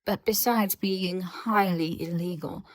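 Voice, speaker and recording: A woman acts out an aloof government researcher in an audio drama. The voice is on the low side and closely dry-recorded, with formal, measured lecturing and dry sarcasm. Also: fairly even intonation, dry recording, poor audio. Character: aloof, sarcastic, scholarly government researcher speaking through voice-disguising charm. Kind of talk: scripted audio fiction dialogue.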